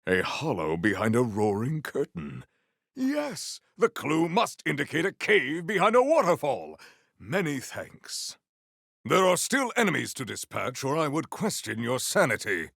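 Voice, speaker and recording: An actor playing a proud, battle-eager armored warrior-poet in a video game, with formal, theatrical diction. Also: male voice, mid-range voice, wildly swooping pitch, unhurried, gravelly, fairly bright tone, no room sound, clean studio sound, exaggerated voice. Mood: measured, lofty. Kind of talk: scripted game character lines.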